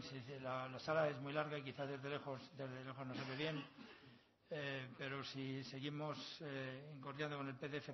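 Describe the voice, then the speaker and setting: Castilian Spanish professor, a man lecturing, dull recording. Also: mid-range voice. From La Mancha, Spain. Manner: erudite, discursive, with proverbs and learned asides. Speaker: university professor, conference speaker. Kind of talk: academic conference lecture. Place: long conference hall. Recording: slightly dull, lo-fi sound.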